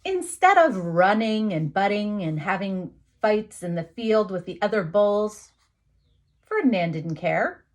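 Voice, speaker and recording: A woman teacher reading a picture book aloud to young children, expressively, with dramatic emphasis, pauses and asides to her listeners. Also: mid-range voice, sing-song.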